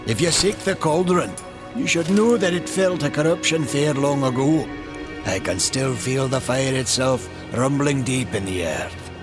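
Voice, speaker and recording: An actor playing a good-natured young giant blacksmith in a video game, folksy and earnest. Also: male voice, medium pitch, lively intonation, a little slow, close to an everyday voice. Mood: curious, awed. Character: eager good-natured young Maker smith, brawny giant. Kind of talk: video game character acting. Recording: game music behind.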